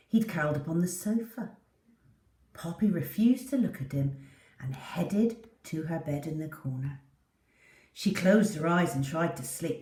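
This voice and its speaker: A woman's voice: fairly low pitch, darkish tone. A woman reading a children's story aloud with theatrical, dramatic delivery. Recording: echoey room, distant from the mic.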